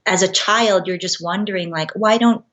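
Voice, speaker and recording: A woman's voice: medium pitch, normal speed, a bit bright. A young woman from Los Angeles, raised speaking Korean, answering interview questions in a thoughtful, casual way. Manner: thoughtful, uses fillers and quoted asides. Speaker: guest, baker.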